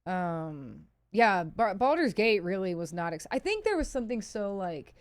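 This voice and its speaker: A woman talks as a livestreamer taking viewer questions. She is chatty and candid, happy to poke fun at herself and swear. Her mid-pitched voice is recorded dry, with very little room sound. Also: big pitch swings, normal speed, dry recording. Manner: candid, chatty, self-deprecating, swears freely. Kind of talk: casual livestream Q&A chat. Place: indoors, seated at a PC.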